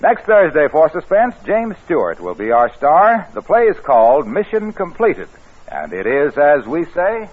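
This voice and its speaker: A man announcing in scripted 1940s radio style, delivering sponsor pitches and credits with a husky voice. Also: huge pitch swings, moderate pace, a little hiss or hum. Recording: very muffled old radio broadcast.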